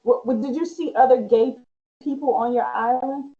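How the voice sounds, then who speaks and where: A woman's voice: sits high, normal speed, warm tone. A woman hosting an interview, asking questions and answering with short encouragements like "wow". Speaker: host. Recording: dull lo-fi sound.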